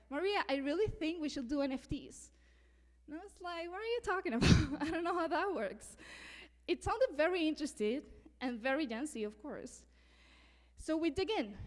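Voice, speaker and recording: A woman's voice: high-pitched, long reverb tail. A woman in her thirties from Venezuela giving a conference talk, earnest, laughing often and sometimes stumbling over a word. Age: 30s. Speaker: conference speaker.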